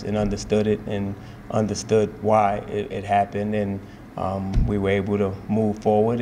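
A man in his forties, a head basketball coach, talking in a measured, steady way with many fillers and repeated phrases at a post-game press conference, speaking into table microphones. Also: on the low side, slight background noise. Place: press room in front of a sponsor backdrop.

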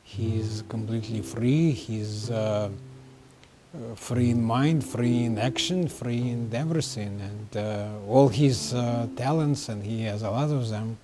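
A man's voice: medium pitch, a little slow, background noise. A man in his sixties, not a native English speaker, searching for words in an interview. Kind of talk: documentary interview. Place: indoors, furnished room. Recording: music under the voice.

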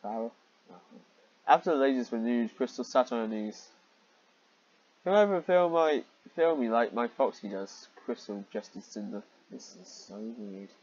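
A man's voice: mid-range voice, lively intonation, normal speed, darkish tone, lo-fi sound. A man reading amateur fiction aloud with sarcastic commentary and exasperated, incredulous asides.

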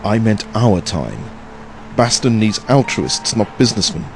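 A man's voice: fairly low pitch, very animated, measured pace, slight background noise. A man playing a blunt, dismissive, hard-nosed investor in an audio drama, curt and sardonic in manner. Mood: scornful.

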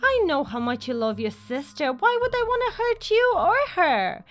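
A woman's voice: high-pitched, big pitch swings, moderate pace. A woman playing a scheming, guilt-tripping mother in an amateur role-play story, with an emphatic way of talking. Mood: falsely sweet.

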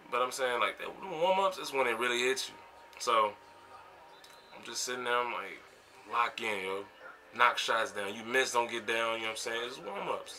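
A man's voice: medium pitch, bright, forceful tone, a little hiss or hum. An American man in his twenties reflecting casually for a vlog, talking in a slangy, emphatic, conversational way. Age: 20s. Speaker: vlogger and college basketball player. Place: small bedroom, indoors. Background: music.

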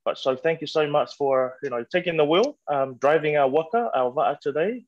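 A man's voice: fairly high pitch, expressive, moderate pace, no room sound. An earnest man, a health worker, talks as a guest over a headset on a video call, his thoughts often interrupted by ums and restarts. The sound is dry and close. The audio is a little dull. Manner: earnest, lots of fillers and restarts.

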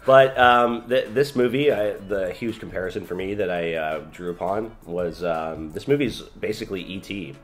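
A man's voice: low-pitched, normal speed, few pauses, faint music behind. A man in his twenties co-hosting a film review show, chatting casually in a small living room.

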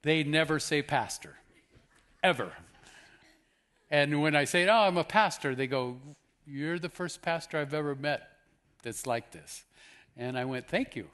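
A man's voice: fairly high pitch, unhurried, pauses often, fairly bright tone. A man in his fifties, a guest preacher giving an earnest sermon on a church stage, asking the audience questions. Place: church stage with purple lighting.

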